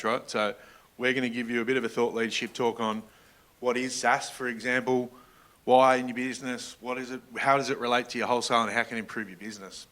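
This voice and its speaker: A man with a bright, forward voice at a middling pitch presents a business workshop. He is middle-aged and keeps things informal, speaking straight to the people in front of him. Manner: informal, engaging the room. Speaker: workshop presenter, general manager.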